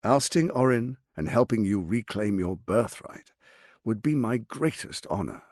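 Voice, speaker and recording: A male actor playing a suave, scheming, power-hungry lord in a video game, with a theatrical, measured, silky politician's delivery, ruthless and charming. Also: lowish voice, lively intonation, darkish tone, no room sound, clean studio sound. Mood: smooth, charming. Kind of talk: dramatic video game voice acting.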